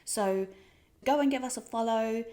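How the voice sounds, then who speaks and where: Woman host for an interview podcast, gentle and searching, plenty of fillers. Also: fairly high pitch, moderate pace. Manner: warm welcomes, hedging with kind of and um. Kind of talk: podcast intro and interview questions. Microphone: podcast studio mic.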